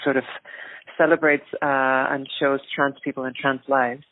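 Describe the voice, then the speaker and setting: Even-toned Icelandic woman, dry narrow recording. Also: a bass voice, moderate pace, dry recording. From rural Iceland. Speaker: interview guest, activist and filmmaker. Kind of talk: reflective interview talk.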